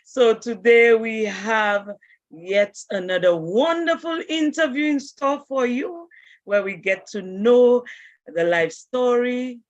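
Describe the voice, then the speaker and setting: A woman's voice: mid-range voice, no echo. A woman hosts an online interview, taking her time over each phrase. Her voice comes through a muffled video-call connection with no room sound. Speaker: interview host. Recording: dull dry video-call audio.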